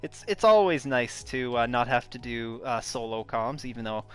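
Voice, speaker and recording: A male esports commentator closing out a racing broadcast. His voice is smooth and resonant, and he pads his lines with hesitant fillers as he thanks viewers. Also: mid-range voice, animated, normal speed. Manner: hesitant, filler-heavy, friendly sign-off delivery. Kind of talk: broadcast race commentary wrap-up.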